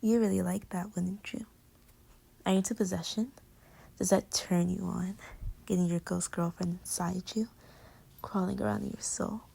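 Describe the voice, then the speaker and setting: Woman acting a creaky-voiced, playful clingy girlfriend. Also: on the low side, steady tone, normal speed, pauses often, warm tone, heavily acted, low-quality recording. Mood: teasing, hushed. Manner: teasing, rambling, swinging from murmurs to exclamations. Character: playful clingy young girlfriend, teasing and affectionate. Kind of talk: intimate girlfriend roleplay audio, pillow talk.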